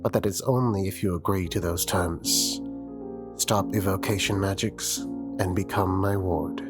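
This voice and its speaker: A man's voice: low-pitched, steady tone, measured pace. A man playing a stern, condescending master of magic at a college, speaking formally and imperiously in a fantasy audio roleplay. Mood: stern, controlling. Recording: music playing behind the voice. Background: instrumental music.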